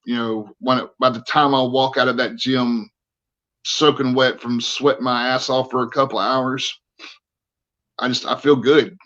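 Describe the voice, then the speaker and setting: A man's voice: medium pitch, level tone, moderate pace, a bit bright, lo-fi sound. A man in his forties, an army veteran guest on a podcast, talking candidly and earnestly with many restarts. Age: 40s.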